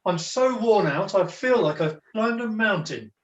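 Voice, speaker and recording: A weary, melodramatic pantomime jester played by a man, high-pitched and declamatory. He takes his time over flowery old-fashioned phrases, recorded in an echoey room on a video call. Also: lively intonation, measured pace, highs cut off. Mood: comic, courtly. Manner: theatrical, flowery, mock-archaic, declamatory. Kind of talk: comic pantomime dialogue.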